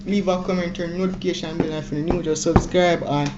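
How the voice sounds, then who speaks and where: A man's voice: on the high side, steady tone, fast. A young man with a Jamaican accent hosting a casual prank vlog, talking in slangy, repetitive exclamations and pleas. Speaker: prank video host. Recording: muffled, noisy home-video audio.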